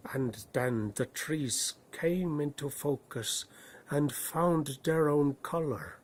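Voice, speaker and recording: A man narrating a bedtime story in a put-on Norse accent, with a husky voice and slow, dramatic phrasing that swells and hushes. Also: mid-range voice.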